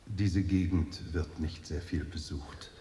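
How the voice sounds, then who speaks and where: A man acting in a radio drama as a courteous, composed older Chinese monastery guide, speaking formally and with measured politeness. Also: low-pitched, fairly even intonation, mellow. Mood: polite, measured. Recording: echoey room, faint noise.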